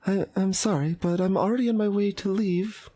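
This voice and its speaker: A woman voicing a sweet, fragile teenage anime girl in a visual novel, speaking in a halting, earnest way. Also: mid-range voice, fairly even intonation, warm tone, lightly acted. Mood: polite, putting on cheer. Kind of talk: visual novel character voice-over.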